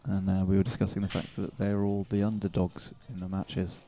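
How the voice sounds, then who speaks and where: A man with a soothing voice, commentating a pool match in relaxed, chatty live-stream talk. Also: deep voice, a little fast. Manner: relaxed, chatty and self-deprecating.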